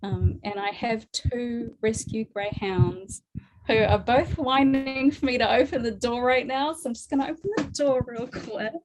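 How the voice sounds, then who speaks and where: A woman's voice: a little high, unhurried, background noise. A woman in her forties with a New Zealand accent, a guest talking casually in an interview, chatty with frequent laughs and many fillers. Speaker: guest artist.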